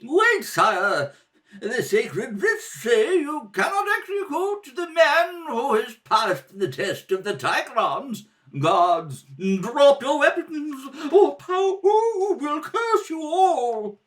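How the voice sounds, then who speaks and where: High-pitched pompous high priest. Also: male voice, sing-song, slow, fairly bright tone, far from the mic, exaggerated voice. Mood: urgent warning. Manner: pompous, ceremonious. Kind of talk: 1930s radio serial acting.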